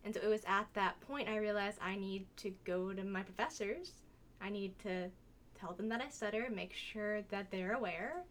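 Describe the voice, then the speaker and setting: A woman's voice: medium pitch, normal speed, fairly bright tone, slight background noise. A woman in her twenties speaking candidly as a podcast guest, with an occasional stutter. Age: 20s. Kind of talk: podcast conversation.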